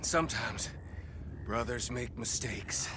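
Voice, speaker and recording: An actor playing a cocky, wheedling, menacing outlaw in a video game, with a sly drawl. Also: male voice, medium pitch, takes it slowly, background noise, natural voice. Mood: sly, cajoling. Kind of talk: video game cutscene acting.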